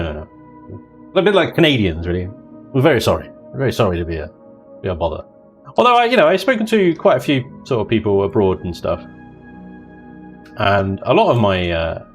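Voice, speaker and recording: A man hosting a livestream, chatting casually and jokily while playing a game. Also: lowish voice. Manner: chatty, jokey, drifts into mock voices and laughter. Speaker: livestream host. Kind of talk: casual chat while gaming.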